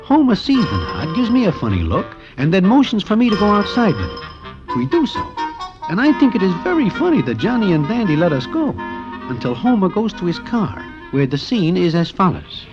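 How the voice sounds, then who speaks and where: A wry, jittery gangster narrator with a high voice that swings widely in pitch. He speaks in stiffly formal slang, old radio style. Music plays behind him. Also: huge pitch swings, fairly quick, rough recording. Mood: storytelling. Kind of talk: 1940s radio acting.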